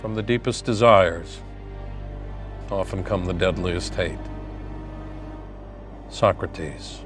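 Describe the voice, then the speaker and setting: A male actor playing a serious, reflective criminal profiler, reciting quotations in a measured TV drama voice-over. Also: deep voice. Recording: music under the voice.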